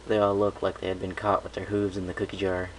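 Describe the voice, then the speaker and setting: A man's voice: sits low, monotone, fast talker, slight background noise, rough recording. A man narrating a first-person story from a script, in a home recording. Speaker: fan fiction reader.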